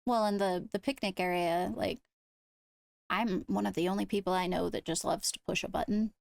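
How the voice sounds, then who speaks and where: A woman speaking in improvised roleplay, leading a meeting in a measured, deliberative way. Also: mid-range voice, fairly even intonation, vocal fry, no room sound. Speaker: role-player leading a court meeting. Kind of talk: improvised in-character roleplay talk.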